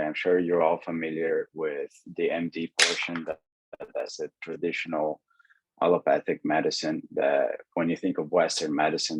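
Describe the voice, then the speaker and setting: A man's voice: a bass voice, breathy edge, dry recording, low-quality recording. A man in his twenties from Brazil, a medical student explaining things in a webinar presentation, often restarting his sentences. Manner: explanatory, with frequent restarts and fillers.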